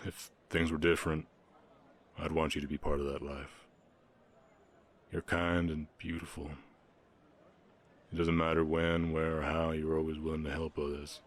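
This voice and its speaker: A man playing a gentle, reserved orc ranch hand with a Southern US accent, speaking haltingly and earnestly in an intimate Western romance roleplay. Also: rumbling low. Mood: tender, melancholy.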